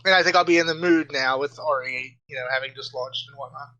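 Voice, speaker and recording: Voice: mid-range voice, fast, bright, forceful tone, poor audio. A podcast co-host chatting casually and enthusiastically about video games. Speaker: podcast co-host and game reviewer. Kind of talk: casual gaming podcast chat.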